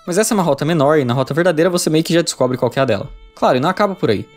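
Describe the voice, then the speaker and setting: A man's voice: a little high, lively intonation, racing, soft background music. A man with a Brazilian accent reading a scripted video review.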